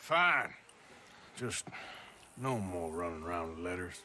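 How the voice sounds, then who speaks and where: An actor playing a gruff but encouraging outlaw gunslinger in a video game, dry and blunt. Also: male voice, deep voice, big pitch swings, a little slow, bright, forceful tone, a little hiss or hum, exaggerated voice. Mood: dry, reluctant. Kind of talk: naturalistic video game acting.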